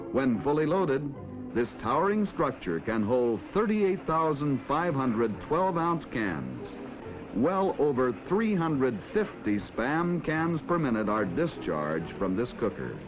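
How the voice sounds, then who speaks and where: American film narrator, lively pitch, vintage sound. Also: male voice, dull audio. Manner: formal, measured announcer delivery. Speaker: narrator of an industrial educational film. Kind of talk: scripted narration, mid-century educational film. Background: background music.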